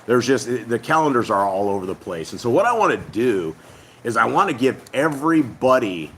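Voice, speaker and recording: A man hosting a family faith channel who speaks to viewers in a casual, earnest, rambling way, his voice hoarse and partly lost. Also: on the low side, a bit bright, a little hiss or hum. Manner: earnest, rambling, with many hesitations. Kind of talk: casual spoken address to viewers.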